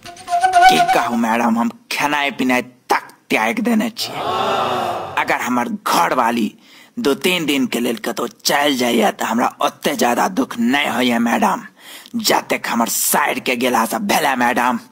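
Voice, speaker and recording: A man's voice: medium pitch, huge pitch swings, measured pace, fairly bright tone, slightly rough, heavily acted. A man playing a distraught, lovesick village husband in an amateur cartoon comedy, with a Maithili accent and plaintive, over-dramatic complaining. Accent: Maithili, from Mithila. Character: distraught village husband pining for his sister-in-law.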